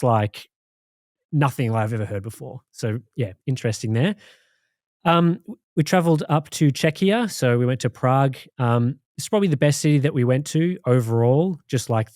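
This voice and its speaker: An Australian man co-hosting a podcast, recounting things in a rambling, relaxed way with many fillers. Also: medium pitch, no echo, clean studio sound. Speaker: podcast co-host. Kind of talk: casual chat.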